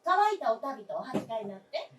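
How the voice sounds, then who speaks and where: A woman's voice: high voice, big pitch swings, quick pace, a little hiss or hum. A woman playing a polite, attentive daughter of the household in theatrical radio drama style, with a solicitous manner. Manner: courteous, solicitous. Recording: echoey stage, distant mic.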